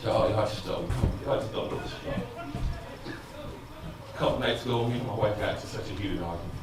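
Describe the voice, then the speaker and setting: An actor playing an anguished, frustrated husband in an emotional theatrical stage monologue that moves between quiet confiding and loud outbursts. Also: male voice, lowish voice, a little fast, over music, close to an everyday voice. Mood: weary, frustrated. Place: stage in a large indoor room. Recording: echoey stage, distant mic, noisy.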